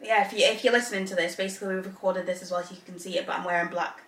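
A woman's voice: lowish voice, steady tone, rapid-fire, a bit bright, recorded from a distance. A woman from Zambia co-hosting a podcast, thinking aloud with restarts and easy laughs. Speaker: podcast co-host. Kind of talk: casual chat. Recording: echoey room, voice a little off-mic.

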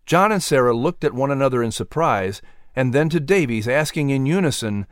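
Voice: medium pitch, lively intonation, a little fast, dry recording, studio quality. An audiobook narrator reading a scripted story expressively.

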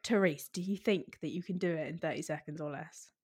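A woman's voice: sits low, a little fast, husky. A woman hosting a podcast, introducing her guest in an upbeat, encouraging way. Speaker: podcast host. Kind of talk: casual interview chat.

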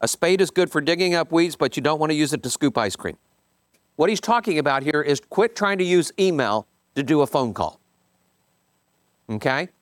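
A man's voice: fairly high pitch, animated, moderate pace, no echo, studio quality. A man giving an informal coaching talk, reading bits from a book and commenting, often repeating points for emphasis and checking in with listeners. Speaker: business coach leading a group session.

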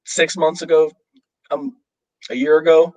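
A man's voice: on the high side, steady tone, a little slow, darkish tone, low-quality recording. Chatty young man, light voice. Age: young adult. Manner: rambling, many fillers and restarts. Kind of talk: casual chat.

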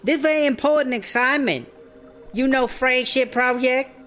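Bossy startup boss, clipped broken English. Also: female voice, high-pitched, normal speed, fairly bright tone, soft background music. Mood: brisk, bossy. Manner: clipped broken English, dropped verbs and articles. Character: bossy woman startup boss pushing dubious business, breezy. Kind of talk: comedy audio drama acting.

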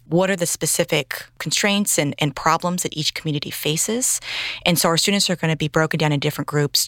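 A woman speaks as a professor explaining things in an interview. Her voice is low for a woman, and the recording is dry and close with no room sound. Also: fairly bright tone, dry recording. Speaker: university professor.

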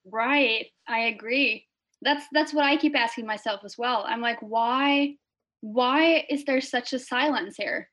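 A woman's voice: fairly high pitch, measured pace, fairly bright tone. A young woman from Norway, a guest speaking casually over a video call, hesitant with many fillers and restarts. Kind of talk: casual interview chat.

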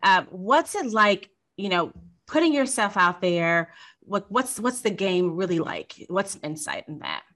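A woman's voice: medium pitch, very animated, measured pace, fairly bright tone. A woman hosting a podcast interview, upbeat and casual, asking questions with a few fillers. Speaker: podcast host.

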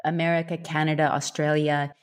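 A woman's voice: sits low, little pitch movement, a little fast, clear, resonant tone. A woman hosting a podcast, asking friendly, curious questions in casual chat. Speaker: podcast host.

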